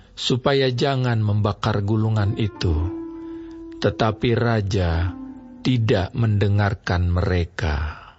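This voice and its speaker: A man reading scripture in a slow, steady, measured way, his voice a little low. Soft music runs underneath, and the sound is slightly dull. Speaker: narrator. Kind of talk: scripted Bible narration. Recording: slightly dull studio audio over music.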